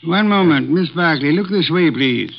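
An actor playing a gruff, pompous male detective in a 1950s radio drama, clipped and dismissive. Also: fairly high pitch, lively intonation, normal speed. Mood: stern. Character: portly imperious male detective, gruff and fussy. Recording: dull old radio broadcast.

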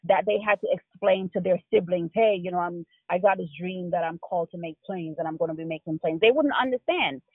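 A woman from Jamaica with a Jamaican accent, telling stories as a guest in a casual interview, with quick laughs. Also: on the low side, breathy edge, no echo. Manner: animated storyteller, quick laughs. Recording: tinny phone line.

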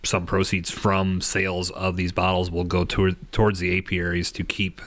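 A man's voice: low voice, monotone, normal speed, a bit bright. A man co-hosting a casual podcast chat, talking up a program with some hesitant repeats. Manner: promotional, hesitant, repeats words. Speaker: podcast co-host.

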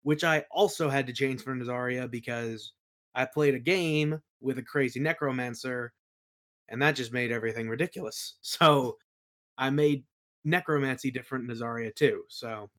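A man chatting informally as an interview guest, explaining his creative work in a halting, think-aloud way. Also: mid-range voice, fairly bright tone. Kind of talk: casual chat, explaining own creative work.

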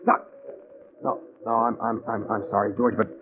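An actor playing a nervous, secretive husband, stammering and irritable in 1940s radio style. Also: male voice, on the low side, level tone, moderate pace, natural voice. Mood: flustered refusal. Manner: stammering, agitated, snappish. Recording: tinny 1940s radio recording, crowd noise.

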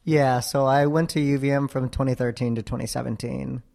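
A man in his twenties from Florida, answering interview questions in a thoughtful, hesitant way with many pauses and ums. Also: mid-range voice, steady tone, gravelly, a little mellow. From Florida, USA. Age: 20s. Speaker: interview guest. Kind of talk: casual interview.

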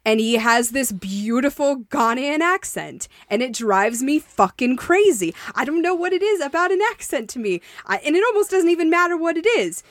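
Blunt, profane woman, slightly high voice. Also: very animated, normal speed, few pauses, no room sound. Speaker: podcast co-host. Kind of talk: candid casual chat. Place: Las Vegas.

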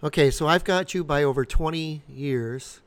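A man in his fifties co-hosting a podcast, explaining things casually in a measured, halting way. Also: fairly high pitch, clean tone. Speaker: podcast co-host.

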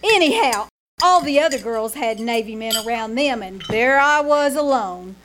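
A woman playing a zany, sharp-tongued, self-dramatizing Hollywood actress in old-time radio comedy, performed live on stage. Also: fairly high pitch, lively intonation, moderate pace, slight background noise. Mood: haughty, mocking. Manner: melodramatic, mock-grand, insulting.

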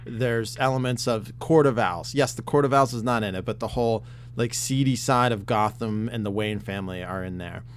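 A man co-hosting a casual podcast chat, talking in an excitable, rambling way with lots of fillers and swearing, his voice getting worn. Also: lowish voice, moderate pace, a little hiss or hum. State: losing his voice. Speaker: podcast host.

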